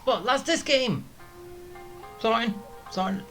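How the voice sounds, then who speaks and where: A game streamer giving casual commentary in a halting, self-deprecating way. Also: medium pitch, a bit bright. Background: game music.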